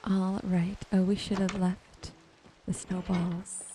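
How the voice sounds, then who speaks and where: A woman giving gentle, soothing ASMR commentary over her gameplay in an unhurried way. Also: lowish voice, flat delivery, noisy background. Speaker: gaming video creator. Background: music and rain sounds.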